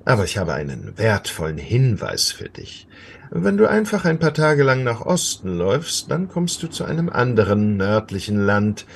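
A man's voice: lowish voice, wildly swooping pitch, normal speed, gravelly, slight background noise, full character voice. A man playing a grumpy, self-important, cowardly border guard in a German fairy-tale audio play, speaking in a hesitant, officious way.

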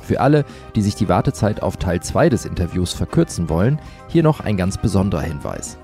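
A man's voice: deep voice, very animated, a little fast, dark tone. A man reading scripted announcements as presenter of an audio magazine. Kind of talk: scripted narration. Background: music.

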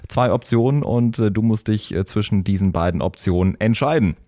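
Guy hosting a podcast, relaxed chat, asking quick either-or questions. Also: on the low side. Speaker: podcast host. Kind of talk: casual podcast quiz questions.

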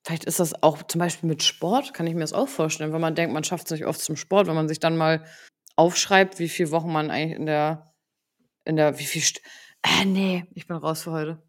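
A woman's voice: low voice, fairly even intonation, a little fast. A young woman co-hosting a podcast in casual, playful chat over a remote call, joking and laughing often. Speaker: podcast co-host.

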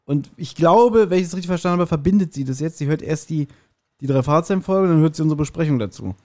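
Chatty forty-year-old man with a higher voice. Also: expressive, fast, mellow. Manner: informal, chatty, rambling asides. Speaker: podcast host. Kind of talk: casual chat, joking.

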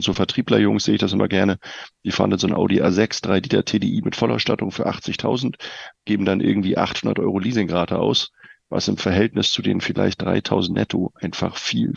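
A man's voice: on the low side, gravelly, breathy edge, no room sound, muffled recording. A middle-aged man giving direct, informal advice as a guest financial coach, explaining things with everyday examples. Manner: direct, informal, addresses listener as you.

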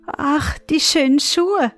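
An eager young village girl from a storybook, played by a woman reader. The voice is fine and high, full of longing and excitable exclamation. It is delivered slowly, with faint music in the recording. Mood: awed, longing. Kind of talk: fairy-tale storybook reading.

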